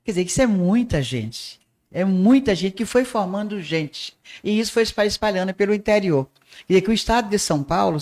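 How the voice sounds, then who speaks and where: An older woman from Northeast Brazil with a softened Northeastern accent, telling her life story as an interview guest in an unhurried way. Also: mid-range voice. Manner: unhurried storyteller with small restarts. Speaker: guest, art teacher and radio presenter.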